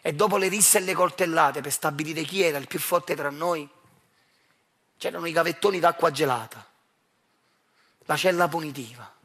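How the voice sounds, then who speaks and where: A man with a Neapolitan accent performing a theatrical monologue, speaking straight to the audience in a measured, intense way. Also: a little high, fairly bright tone, slightly rough. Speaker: stage actor.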